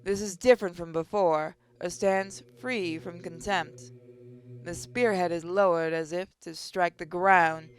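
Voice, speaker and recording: A narrator reading visual novel text aloud in a measured, dramatic way over game music. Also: mid-range voice, steady tone. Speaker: gaming channel narrator.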